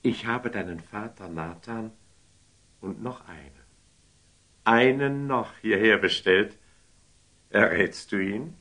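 An actor playing a warm, impulsive, generous sultan in a classic German radio drama, theatrical and coaxing. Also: male voice, lowish voice, takes it slowly, long pauses. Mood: coaxing, playful. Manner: theatrical, coaxing, swinging from murmurs to exclamations.

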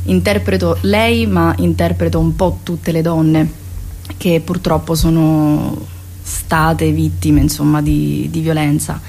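Low-pitched woman from Sicily, hesitant. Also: darkish tone. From Augusta, Sicily, Italy. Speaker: stage actress, interview guest. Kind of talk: spoken interview answers.

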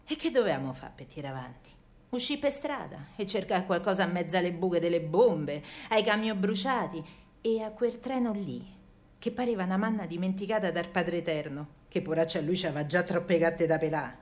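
An actress playing a stubborn young working-class wife with a Roman accent, telling wartime memories in a colloquial, earthy and wry theatrical monologue. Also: female voice, on the low side, fairly quick, natural voice. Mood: wry, resigned.

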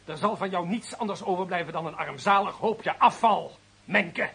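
Husky, menacing, power-drunk official. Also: male voice, high-pitched, moderate pace, a bit bright, full character voice. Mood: gloating, accusing. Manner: menacing, theatrical, swinging between hiss and bellow. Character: vindictive, power-drunk male official threatening a prisoner. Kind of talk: radio drama acting.

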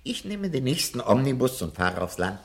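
An actor playing an older, learned professor in a radio drama, speaking in an earnest, deliberate and emphatic way. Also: male voice, medium pitch, very animated, creaky. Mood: resolute, grateful. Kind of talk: 1970 German radio drama acting. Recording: radio drama with faint background noise.

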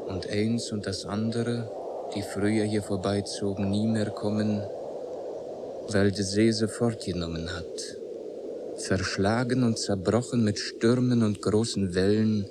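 Man acting melancholy reciter, solemn radio drama, low pitch, very slow measured delivery. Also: steady tone, noisy background, close to an everyday voice. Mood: elegiac. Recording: music behind the voice.

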